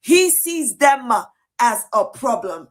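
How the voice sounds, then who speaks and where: A woman's voice: high-pitched, sing-song, slow pace. A woman giving indignant, cutting political commentary in a video.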